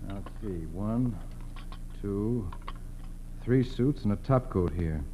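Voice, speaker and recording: A man's voice: fairly low pitch, lively intonation, slow pace, warm tone. An American man playing a methodical, no-nonsense federal agent in 1940s radio style, speaking tersely. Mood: intent. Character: methodical, no-nonsense male FBI special agent. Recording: dull, faintly noisy old radio recording.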